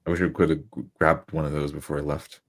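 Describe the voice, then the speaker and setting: Very deep-voiced man roleplaying. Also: little pitch movement, fast talker. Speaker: tabletop player. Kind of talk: roleplay, narrating and speaking in character.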